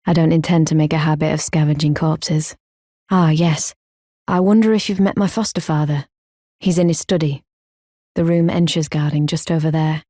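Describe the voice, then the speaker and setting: A proud, earnest fantasy game warrior, with a lowish, dark and mellow voice. She speaks solemnly in formal, archaic phrasing, measured and stoic. The sound is dry and close. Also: no echo. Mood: composed, formal. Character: proud, earnest woman warrior, grown, stoic and honour-bound. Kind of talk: video game character acting, formal archaic speech.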